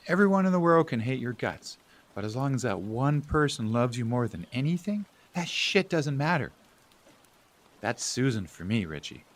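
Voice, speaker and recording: A man's voice: medium pitch, big pitch swings, normal speed, lightly acted. A man acting a frustrated, caring family-man brother in an audio drama, blunt and emphatic. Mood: earnest. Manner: blunt, emphatic, swinging between exasperated and hushed.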